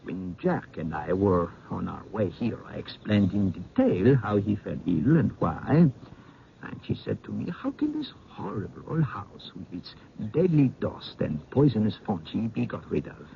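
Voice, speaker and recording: An actor playing a courteous, knowledgeable doctor in a radio drama, speaking formally and precisely with theatrical poise. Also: male voice, lowish voice, very animated, a little slow, gravelly, full character voice. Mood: assured, explanatory. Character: knowledgeable, courteous male doctor explaining calmly. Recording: tinny, crackly old radio broadcast.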